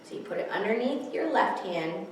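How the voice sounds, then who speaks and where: A woman's voice: mid-range voice, expressive, moderate pace. A woman hosting a storytime for small children, teaching and reading aloud in simple, encouraging language. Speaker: children's library storytime host. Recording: echoey room, distant mic, faint noise.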